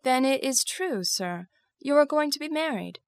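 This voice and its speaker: An actress playing an earnest, proud young governess, speaking with careful, emotional delivery in a dramatized classic novel. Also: female voice, high-pitched, expressive, normal speed, no room sound, clean studio sound. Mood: sad, restrained. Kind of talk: first-person dramatized storytelling of classic novel.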